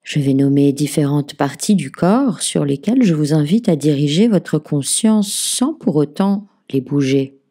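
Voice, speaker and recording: A woman leading a guided relaxation as a meditation teacher, giving gentle, soothing, measured instructions. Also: low-pitched, expressive, husky. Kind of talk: guided yoga nidra relaxation narration.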